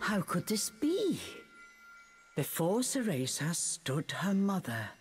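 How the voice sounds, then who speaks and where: A woman playing a storybook narrator in a video game, measured and dramatic. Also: mid-range voice, sing-song, in a large hall, faint music behind.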